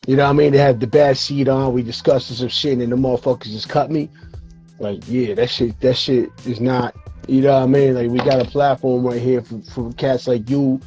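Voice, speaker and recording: A man's voice: medium pitch, steady tone, fairly quick, mellow, muffled recording, faint music behind. A man hosting a casual hip-hop interview show over a video call, tired and losing his voice, talking in a laid-back, slangy way with plenty of fillers and cursing. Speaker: show host.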